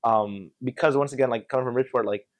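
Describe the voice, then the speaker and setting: A young man from Connecticut, a podcast guest telling stories in an animated, slangy, casual way. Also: medium pitch, fast, dry recording. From Connecticut, USA. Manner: animated, slangy storytelling with many filler yeahs. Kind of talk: casual chat.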